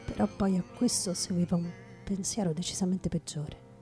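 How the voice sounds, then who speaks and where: A low, mellow woman's voice plays a bitter, hard-drinking writer in a radio play. The delivery is brooding and halting, repeating words for emphasis. Faint music runs behind it. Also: steady tone, lightly acted. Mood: indignant, self-doubting. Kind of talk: theatrical radio drama monologue.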